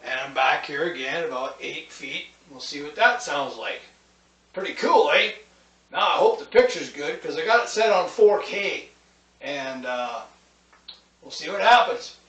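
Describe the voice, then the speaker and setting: Rough-voiced man rambling through a gadget review. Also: a little high, expressive, a little slow, fairly bright tone, low-quality recording. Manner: rambling, talks while fiddling with gear, many fillers. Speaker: product reviewer. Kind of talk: casual hands-on product review. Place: small bedroom at home, indoors. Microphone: camcorder's own shotgun mic, from a few feet to eight feet away.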